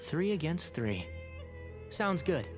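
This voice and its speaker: Animated, earnest young ally. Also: male voice, on the high side, slow, music in the background. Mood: thoughtful. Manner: earnest, expressive. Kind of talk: anime game voice acting.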